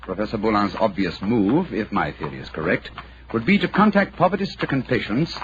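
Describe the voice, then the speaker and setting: A man playing a brisk, assured British gentleman detective in a 1940s radio drama, speaking in a precise, formal, theatrical way. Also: fairly low pitch, very animated. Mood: confident, explaining. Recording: dull, noisy 1940s radio recording.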